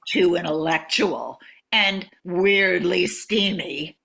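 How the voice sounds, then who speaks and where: Bright, deliberate highbrow woman playwright, played by a woman. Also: gravelly, exaggerated voice. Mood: lofty, droll. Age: older. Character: highbrow, myth-loving former English teacher and playwright. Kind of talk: amateur radio comedy acting.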